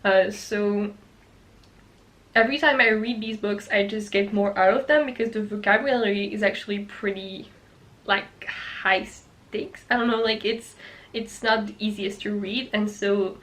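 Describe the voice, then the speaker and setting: A woman's voice: mid-range voice, steady tone, measured pace, a bit bright. A young woman from France with a French accent, chatting casually to camera about books she loves, restarting her sentences often. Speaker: book vlogger.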